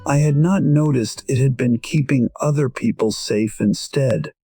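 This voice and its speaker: A man playing a gentle musician in his sixties, quietly and wistfully telling his own story as audio drama narration. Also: mid-range voice, unhurried, breathy edge, no room sound, faint music behind. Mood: reflective, tender.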